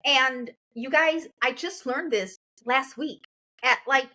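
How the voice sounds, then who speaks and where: Bright, high-voiced woman host in her forties. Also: slow, a little hiss or hum. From Chicago. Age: 40s. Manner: lively, repeats and echoes her guest. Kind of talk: casual interview chat.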